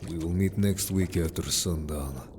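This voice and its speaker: A man's voice: deep voice, a little slow, a little mellow, a little hiss or hum. A man playing a stern, wary merman in an audio story, curt and grave, distrustful of humans. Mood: guarded, businesslike. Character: stern, wary, disapproving merman information gatherer. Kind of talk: audio story character acting.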